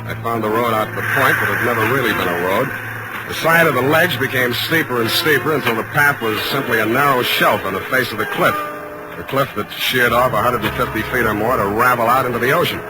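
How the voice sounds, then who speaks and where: An American actor playing a wry, hard-boiled private detective in a deadpan, clipped 1940s radio style. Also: male voice, mid-range voice, fast talker, loud music behind the voice, lightly acted. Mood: grim narration. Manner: deadpan, clipped narration and dry banter. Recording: noisy old radio drama recording.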